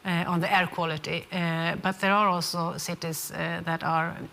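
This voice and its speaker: A woman from Sweden speaking as a conference panelist, halting and restarting as she answers. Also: fairly low pitch, flat delivery, vocal fry, pushed and bright. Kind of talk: panel discussion remarks.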